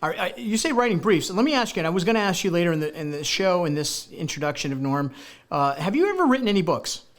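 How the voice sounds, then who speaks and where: Upbeat talk show host, slightly high voice. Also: male voice, lively intonation, fast talker. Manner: enthusiastic, rambling, many fillers and restarts. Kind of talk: live studio talk show. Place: local TV studio.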